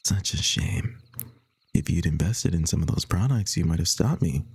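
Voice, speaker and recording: A man voicing a playful, obsessive kidnapper who dotes on his captive, in breathy, half-whispered ASMR roleplay. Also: low-pitched, animated, moderate pace, dry recording, voice pushed down, full character voice. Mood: teasing menace. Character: obsessive playful male yandere kidnapper, smug and doting.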